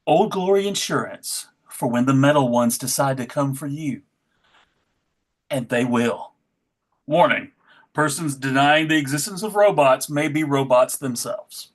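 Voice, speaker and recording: Table-read host and narrator: middle pitch, casual presenter who also reads stage directions. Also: a little slow. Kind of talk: casual hosting and scripted narration.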